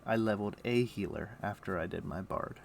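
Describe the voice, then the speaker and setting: A man's voice: fairly low pitch, brisk, gravelly, slight background noise. A man streaming a game, chatting casually and thinking aloud in an easygoing, musing way. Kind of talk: casual chat while playing an online game.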